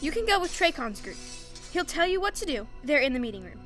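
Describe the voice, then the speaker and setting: A woman acting as a commanding female leader in a sci-fi audio drama, brisk and no-nonsense. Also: high-pitched, big pitch swings, a bit bright, soft background music.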